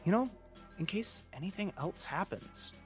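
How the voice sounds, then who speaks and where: High-voiced, sweary young student played by an actor. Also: male voice, lively intonation, moderate pace, soft background music. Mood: explaining. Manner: casual, sweary banter. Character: young male student, foul-mouthed, eager geeky friend. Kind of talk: audio drama dialogue.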